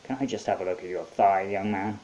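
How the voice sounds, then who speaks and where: A man voicing a gruff but deferential soldier in a story, plain-spoken and given to orders. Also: sits low, steady tone, fairly quick, mellow, slight background noise, natural voice. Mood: brusque, curious.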